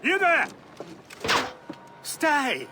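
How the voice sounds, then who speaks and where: A woman playing an alarmed guard shouting a challenge in a video game. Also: high-pitched, wildly swooping pitch, extremely slow, over music, full character voice. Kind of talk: video game cutscene acting.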